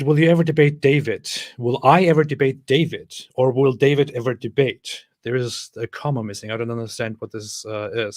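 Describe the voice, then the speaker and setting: A man's voice: medium pitch, a little mellow, no room sound. A young man co-hosting a casual chat, speaking dryly and sardonically while reading out viewer messages. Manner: dry, sardonic, reads viewer messages aloud. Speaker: co-host.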